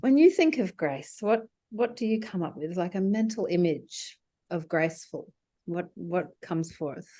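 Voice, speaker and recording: A woman's voice: lowish voice, lively intonation, unhurried, dark tone. A woman from Greece hosting an interview over a video call, talking in a chatty, rambling way with fillers and laughs. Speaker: interview host. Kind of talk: conversational interview.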